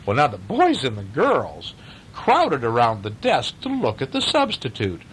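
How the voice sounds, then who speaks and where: A man reads a bedtime story in a middle-pitched voice with very lively intonation. He slips easily into chatty, questioning asides for a young listener. A faint rumbling noise runs under the recording. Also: measured pace, low-quality recording. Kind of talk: animated bedtime story reading with casual asides.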